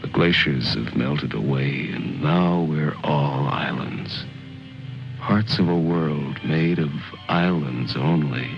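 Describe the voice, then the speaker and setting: A man's voice: extremely low pitch, slow pace, vocal fry, full character voice. A man acting as a solemn, philosophical narrator in an old war film, speaking in a poetic way. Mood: solemn, brooding. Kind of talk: scripted 1950s film narration. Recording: dull old film soundtrack under music.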